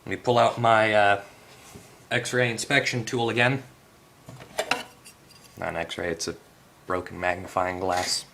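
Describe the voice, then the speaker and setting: A man's voice: lowish voice, steady tone, unhurried, gravelly, pauses often, background noise. A man hosting a hands-on electronics tutorial, explaining casually and off the cuff, thinking aloud and correcting himself as he goes. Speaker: host of an electronics repair tutorial.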